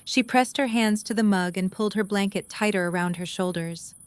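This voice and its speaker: A woman reads scripted story narration in a smooth, clear voice. Her pitch is average for a woman, and the sound is close and dry, with no room around it. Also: a little fast, no room sound.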